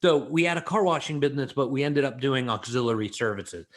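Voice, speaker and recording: A middle-aged man who grew up in Los Angeles tells stories as an interview guest. His talk is loose and animated and full of "you know". The voice sits at an ordinary middle pitch. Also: fairly quick. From born in Israel, raised in Los Angeles. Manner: chatty, many "you know" fillers, animated. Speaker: guest, creativity expert. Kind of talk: casual storytelling interview.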